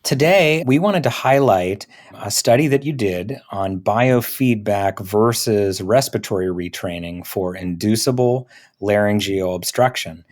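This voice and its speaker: A man's voice: lowish voice, unhurried. A man speaking as a podcast host, conducting an interview with medical guests. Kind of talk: interview hosting.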